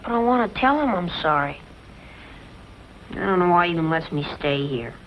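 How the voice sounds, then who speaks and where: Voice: mid-range voice, animated, moderate pace, natural voice. An actor as young ranch boy, earnest and guilty, old western drama, muffled lo-fi sound, background noise. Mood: remorseful.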